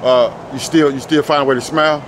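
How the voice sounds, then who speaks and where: Guy filming a street interview, talks fast and teasing, a bit high pitched, light traffic hum. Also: fairly even intonation. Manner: quick, teasing, repeats himself. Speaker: street interviewer and channel host. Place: outdoors by a building and parking lot.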